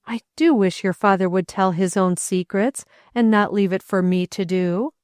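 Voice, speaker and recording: A woman's voice: mid-range voice, darkish tone, clean tone, no room sound, clean studio sound, lightly acted. Woman reading as a grim, graying aunt. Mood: reluctant. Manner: measured, reluctant, plain-spoken.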